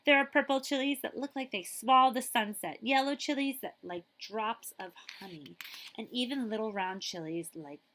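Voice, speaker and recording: A woman's voice: on the high side, moderate pace, a bit bright, slight background noise. A woman school librarian reading a children's picture book aloud expressively, with chatty asides to her listeners.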